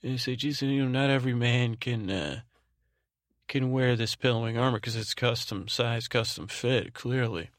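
A man hosting a sleep podcast in a soothing drone, meandering and halting as he repeats himself and trails off to lull listeners. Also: medium pitch, dry recording, studio quality. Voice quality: soothing, soft-spoken drone. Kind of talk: rambling bedtime monologue meant to lull.

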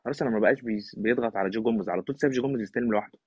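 A man's voice: medium pitch, racing, slightly rough. An Egyptian man giving casual, emphatic football analysis in Egyptian Arabic, as an opinionated podcast guest. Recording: thin phone-like line, slightly roomy.

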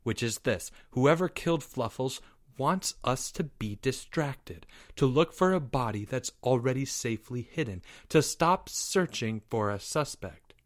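A man voicing an accusing schoolboy in a story, interrogating in a deliberate, commanding way. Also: mid-range voice, lively intonation, no room sound, clean studio sound, natural voice. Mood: cool, suspicious. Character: stern, accusing schoolboy playing detective.

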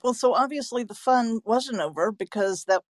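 A woman's voice: fairly high pitch, moderate pace, dry recording, studio quality. A woman hosting a casual interview, attentive and empathetic in the way she responds. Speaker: podcast host, interviewer.

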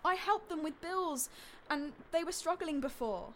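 A woman's voice: high voice, lively intonation, measured pace, a bit bright, slight background noise. A woman playing an upset, defensive teenage girl vlogger, pleading and indignant, in an audio drama. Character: defensive, upset fifteen-year-old girl beauty vlogger.

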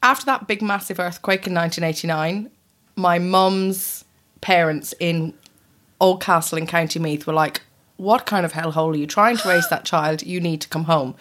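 A woman's voice: lowish voice, normal speed, a bit bright. A woman in her thirties with a mixed English and Irish accent, telling family stories in casual chat and laughing often. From born in the US, raised in England and Ireland.

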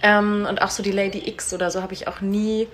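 A woman's voice: mid-range voice, flat delivery, a little fast. A young woman, an actress, chatting casually in an interview with informal, eager, agreeable replies. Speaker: stage actress being interviewed. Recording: noticeable background noise on location.

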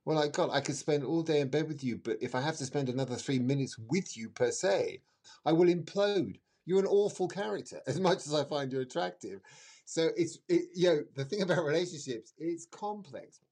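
A middle-aged man explaining things conversationally as a podcast guest, emphatic, with dramatic pauses and repetition. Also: fairly high pitch, animated, a little mellow. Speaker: astrologer guest.

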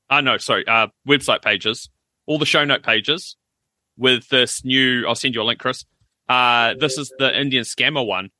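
A man co-hosts a podcast, chatty and wry. His voice is sharp and very bright, and the sound is dry and close. He tends to explain things at length. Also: medium pitch, no echo. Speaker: podcast co-host. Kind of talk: casual chat.

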